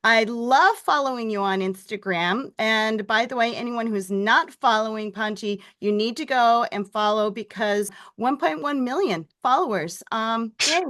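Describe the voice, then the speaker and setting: A woman's voice: fairly high pitch, unhurried, hardly any pauses, fairly bright tone. A woman hosting an upbeat interview, bubbly and enthusiastic with lots of exclamations. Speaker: interview host.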